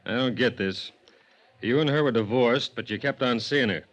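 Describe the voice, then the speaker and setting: A man playing a blunt, skeptical police sergeant in a 1950s radio drama, gruff, terse and probing. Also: mid-range voice, normal speed, fairly bright tone, lightly acted. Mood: skeptical, pressing.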